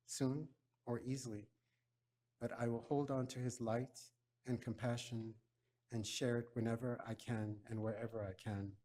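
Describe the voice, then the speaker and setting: A man's voice: medium pitch, darkish tone. Solemn man giving a eulogy. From Ghana. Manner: measured, solemn delivery. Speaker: eulogy speaker. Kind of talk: memorial eulogy.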